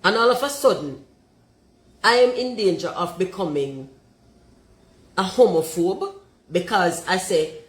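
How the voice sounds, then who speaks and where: A Jamaican woman with a deep voice, talking forthrightly and emphatically and slipping into patois. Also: lively intonation, a little slow, pauses often, echoey room. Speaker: commentator.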